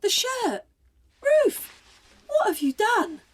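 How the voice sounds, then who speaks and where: High-pitched, prim matron. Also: female voice, big pitch swings, slow pace, full character voice. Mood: scolding. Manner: bossy, prim, clipped. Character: stern, brisk matron of a charity asylum. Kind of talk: period radio drama acting.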